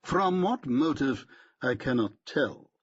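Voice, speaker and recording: A pompous, stiff barrister, as a male audiobook reader puts him on, speaking in a haughty, clipped, precise way. The voice is a little husky. The sound is dull and lo-fi. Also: medium pitch, animated, takes it slowly.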